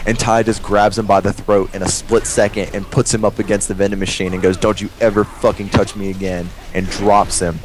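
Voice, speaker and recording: A man's voice: lowish voice, fairly quick, few pauses, a little hiss or hum, rough recording. A young man telling a story casually in a rambling, profane way, acting out dialogue as he goes. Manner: rambling, profane, animated retelling with quoted dialogue. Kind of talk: casual storytelling over gameplay.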